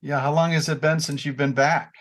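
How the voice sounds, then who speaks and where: A man's voice: fairly high pitch, flat delivery, brisk, a bit bright. A man in his sixties hosting a casual podcast chat, rambling with many fillers and restarts. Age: 60s. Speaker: podcast host.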